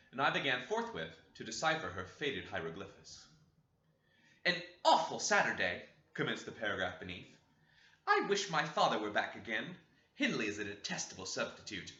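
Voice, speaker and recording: A man narrating a classic novel with an expressive, varied delivery. His voice is very bright and his pitch moves a lot. The recording sounds roomy and somewhat dull, like a home setup. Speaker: audiobook reader.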